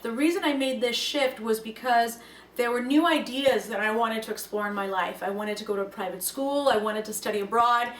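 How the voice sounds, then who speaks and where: A woman's voice: a little high, fairly quick, far from the mic. A young woman whose first language is Russian, giving an earnest and reflective talk to camera. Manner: earnest, reflective, lively emphasis. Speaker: language learning speaker. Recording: echoey room, voice from off the mic, faint room noise.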